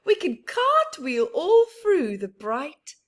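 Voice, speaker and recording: A woman's voice: high voice, huge pitch swings, slow pace, pitched above the performer's own voice, heavily acted. Woman doing a cheery little blue bird. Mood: eager. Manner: bright, eager, sing-song.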